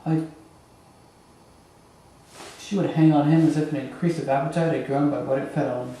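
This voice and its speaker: A man acting a grieving, bitter young prince in a theatrical Shakespearean monologue, with halting, emphatic delivery and dramatic pauses. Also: fairly high pitch, fairly even intonation, mellow, a little hiss or hum, lightly acted. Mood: bitter grief. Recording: echoey room, distant microphone.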